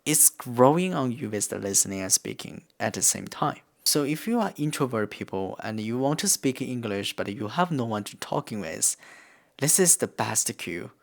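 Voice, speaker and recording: A man's voice: medium pitch, big pitch swings, moderate pace, vocal fry. A man whose first language is Korean, from near the China–North Korea border, giving earnest, plain English-learning tips to camera. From China–North Korea border region. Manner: earnest, plain, non-native phrasing with restarts. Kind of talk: instructional talk to camera. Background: guitar music.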